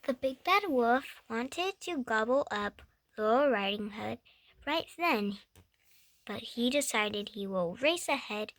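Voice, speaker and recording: High-pitched young girl reading slowly. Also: fairly bright tone, no echo. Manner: stumbling over words and correcting herself. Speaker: storybook reader. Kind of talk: reading a picture book aloud with playful asides.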